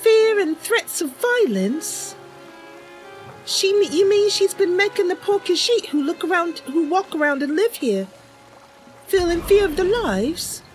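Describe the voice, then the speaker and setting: A woman voicing an earnest, naive, excitable young female wood elf adventurer in a game, girlish and wide-eyed. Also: very high voice, unhurried, soft background music, voice pushed up. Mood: puzzled, innocent. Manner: girlish, wide-eyed, stumbles over big words.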